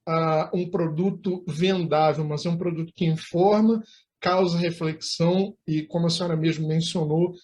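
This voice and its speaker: A Brazilian man hosting an interview, speaking formally in measured, wordy, articulate phrases. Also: on the high side, fairly even intonation, a little mellow. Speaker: interview host.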